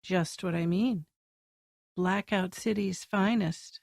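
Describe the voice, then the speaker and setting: An actress playing a sultry, sardonic femme fatale in a noir audio drama, on a noisy recording. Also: female voice, mid-range voice, fairly even intonation, slow, vocal fry, no echo, studio quality. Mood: sardonic, cool. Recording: noisy track with clicks.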